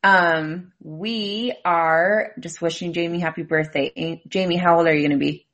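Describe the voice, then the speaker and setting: A woman's voice: on the low side, fairly even intonation, normal speed, a bit bright. A young woman hosting a casual group chat, speaking informally with mild, folksy exclamations. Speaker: host of a show with relatives.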